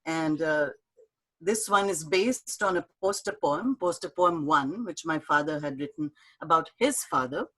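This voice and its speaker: Woman speaker on a video call, gentle and halting, reads her poem, then answers questions. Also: sits low, lively intonation, poor audio. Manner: soft, halting, warm storytelling with fillers. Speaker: speaker at an online talk.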